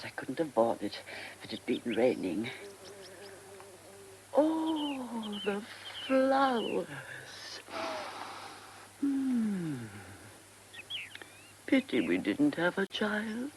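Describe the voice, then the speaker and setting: Casting: eccentric faded older diva, radio drama monologue, theatrical and halting, very unhurried, faint noise on the recording. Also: female voice, mid-range voice, huge pitch swings, darkish tone, full character voice. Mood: brisk, wistful. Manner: theatrical, halting, breathy muttering to herself. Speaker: actress.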